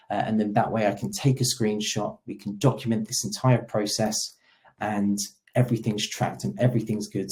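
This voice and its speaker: Male product demo presenter, soft dark tone, conversational step-by-step explaining. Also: medium pitch. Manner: explanatory, role-plays agent dialogue. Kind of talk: software demo walkthrough, conversational.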